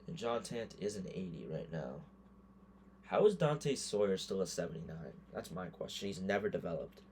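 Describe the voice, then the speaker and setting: Male gaming creator, hoarse, deeper side, loose offhand thinking-aloud commentary. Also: lively intonation, moderate pace, darkish tone, a little hiss or hum. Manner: thinks aloud, muttering verdicts on players. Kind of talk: casual gameplay commentary.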